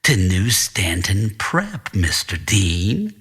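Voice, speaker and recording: A sinister older principal acted out by a man from a noir audiobook. He is husky and very slow, with a silky, menacing courtesy that lingers on each word. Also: low voice, wildly swooping pitch, fairly bright tone, full character voice. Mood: silky menace.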